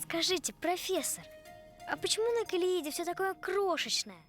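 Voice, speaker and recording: A woman voicing a small, curious and cheeky young girl in an animated film. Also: very high-pitched, normal speed, faint music behind. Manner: inquisitive, pert.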